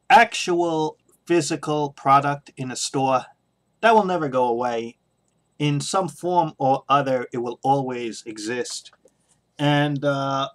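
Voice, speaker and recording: A middle-aged man with a medium-pitched voice speaks off the cuff, like a hobby video blogger giving his take. His delivery is halting and hesitant, often pausing as he works out the next phrase. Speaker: video blogger on toy collecting. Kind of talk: unscripted opinion monologue.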